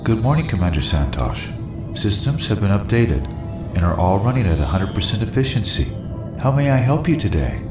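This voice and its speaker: A self-important shipboard AI in a sci-fi comedy. The voice is deep and formal, yet rises and falls widely. It rings with echo over a music bed. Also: male voice, sing-song, moderate pace. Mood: polite. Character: pompous, literal-minded shipboard artificial intelligence. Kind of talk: full-cast sci-fi comedy audio drama. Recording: roomy echo, music underneath.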